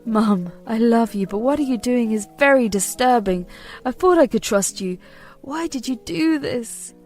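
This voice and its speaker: A woman's voice: mid-range voice, expressive, fairly quick, soft background music, natural voice. A woman voicing a torn adult son who answers his mother in a firm, earnest, weary way.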